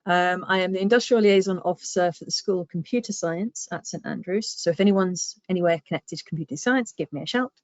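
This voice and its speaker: A woman's voice: on the low side, a little fast, few pauses, a little mellow, no room sound. Chatty southern English woman on a video call. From southern England. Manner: chatty, frequent ums, self-deprecating asides. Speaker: guest speaker, public engagement manager. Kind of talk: webinar presentation. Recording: dry, muffled video-call audio.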